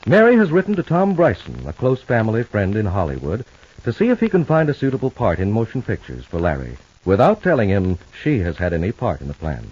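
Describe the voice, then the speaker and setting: A man narrating a 1950s radio soap opera in a dramatic, deliberate announcer's style. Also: fairly low pitch, huge pitch swings, darkish tone, slightly rough, full character voice. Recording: dull, band-limited old radio recording.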